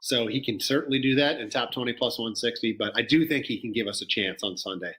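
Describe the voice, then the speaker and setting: A man's voice: mid-range voice, fast, pushed and bright. A man earnestly breaking down golf betting odds in casual chat, often restarting his sentences.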